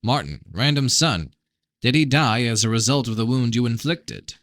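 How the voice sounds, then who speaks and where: A man's voice: medium pitch, animated, normal speed, a bit bright, no echo. A man voicing a blunt, suspicious prince in a first-person novel reading, terse and challenging. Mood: pressing, suspicious. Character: blunt, suspicious fantasy prince interrogating his brother.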